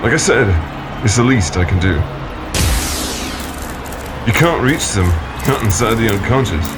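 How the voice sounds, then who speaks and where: A man's voice: lowish voice, animated, unhurried, heavy background noise. A man playing a flippant, mocking villain who talks like a casual businessman in an audio drama, with dramatic music behind. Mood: smug menace. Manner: mocking, chuckling, casual slangy taunts. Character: smug, flippant male villain, cold-blooded businessman. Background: dramatic incidental music.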